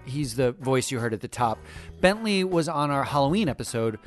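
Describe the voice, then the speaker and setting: A man's voice: mid-range voice, animated, fairly quick, faint music behind. A man with an American accent hosting a podcast in a playful, emphatic, conversational but scripted way. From United States. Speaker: podcast host.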